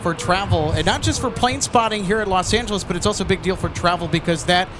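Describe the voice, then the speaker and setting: A man's voice: high voice, quick pace. A man chatting casually as a guest co-commentator outdoors beside an airport runway, an easygoing enthusiast. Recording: outdoor feed with clear traffic noise.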